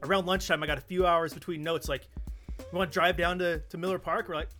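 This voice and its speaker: A man telling stories casually on a podcast in an animated way, acting out the dialogue he quotes. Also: a little high, quick pace, faint music behind. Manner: animated storyteller who acts out quoted dialogue. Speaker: podcast co-host.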